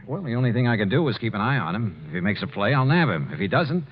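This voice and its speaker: An actor playing a hardboiled American insurance investigator, groggy but decisive, in 1950s radio detective style. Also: male voice, mid-range voice, very animated, quick pace, close to an everyday voice. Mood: wry, weary. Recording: muffled vintage radio recording with music.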